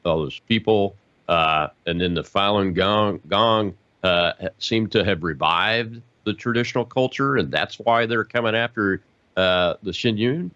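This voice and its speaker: A male host asking interview questions in a halting way, with many fillers and restarts. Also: lowish voice, pushed and bright, no echo, lo-fi sound.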